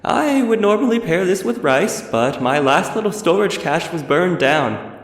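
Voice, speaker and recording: A man's voice: sits high, expressive, unhurried, pitched above the performer's own voice. A man voicing a cunning old undead elf. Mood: coolly menacing. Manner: polite, formal, coolly threatening. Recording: reverberant roomy echo.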